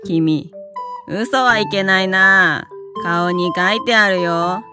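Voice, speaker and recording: A woman's voice: mid-range voice, takes it slowly, music in the background, lightly acted. A woman playing a small, prickly teenage samurai boy in a voice drama, teasing and brash. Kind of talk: anime-style voice drama.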